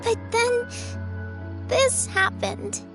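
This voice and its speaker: An actress playing an earnest, sickly little girl in an anime game, speaking haltingly and wistfully. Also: very high voice, steady tone, vocal fry, heavily acted. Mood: sorrowful, pleading. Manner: slow, halting, pleading. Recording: music behind the voice.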